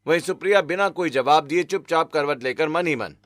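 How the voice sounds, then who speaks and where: A man's voice: on the high side, fairly quick, fairly bright tone, dry recording, studio quality. A man narrating a scripted family moral story as a storyteller.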